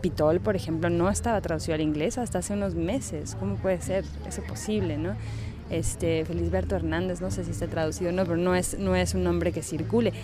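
A woman's voice: on the low side, fairly even intonation, a little fast, over music. A woman writer with a slightly unusual Mexican Spanish accent, answering interview questions thoughtfully and searching for her words.

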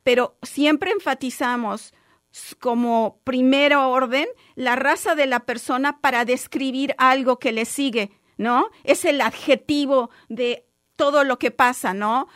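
A woman lawyer, a native Spanish speaker, explaining a legal point in a radio discussion, measured with frequent fillers and restarts. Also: high-pitched, steady tone, a bit bright, no room sound, studio quality. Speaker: lawyer guest.

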